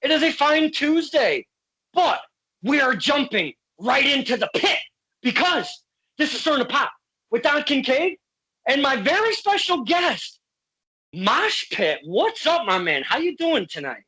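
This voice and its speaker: Man from Connecticut, boisterous show host, bright forceful voice, playful, swears a lot. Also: extremely high pitch, very animated, a little slow, rough recording. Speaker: podcast host. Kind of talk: casual interview.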